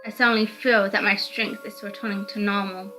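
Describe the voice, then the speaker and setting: A woman's voice: medium pitch, flat delivery, brisk, sharp tone, soft background music, poor audio. A woman reading scripted first-person narration from a visual novel aloud.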